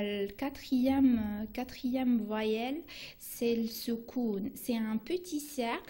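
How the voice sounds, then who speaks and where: A woman whose first language is Arabic, teaching a beginner Arabic lesson in French on the radio, talking in a halting way with many restarts and fillers. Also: on the high side, mellow.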